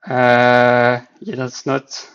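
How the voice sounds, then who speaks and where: Swiss guy w french accent on a dev stream, slow, kinda monotone, uhs everywhere. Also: mid-range voice. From Switzerland. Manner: hesitant, many fillers, thinking aloud while working. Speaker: game developer streaming. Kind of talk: casual live dev stream. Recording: slightly dull lo-fi stream mic.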